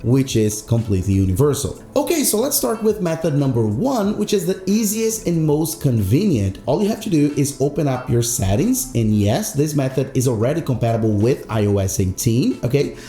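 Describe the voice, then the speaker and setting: Animated man giving a tech tutorial. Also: mid-range voice, normal speed, hardly any pauses, reverberant, faint music behind. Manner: emphatic, repetitive, step-by-step explaining. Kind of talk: instructional screen-recorded tutorial narration.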